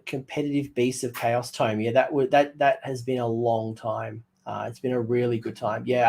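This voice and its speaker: A man losing his voice, hosting a casual hobby livestream and thinking out loud with lots of ums and restarts. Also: medium pitch. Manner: rambling, thinks aloud with many ums and restarts. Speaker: livestream host.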